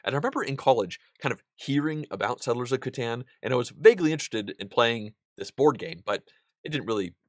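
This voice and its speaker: A man in his thirties hosting a board game channel, seated at a desk in a small studio and answering viewer questions in a relaxed, chatty way with plenty of ums and restarts. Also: mid-range voice, fast, no echo. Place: indoor studio room with a wall of game pieces.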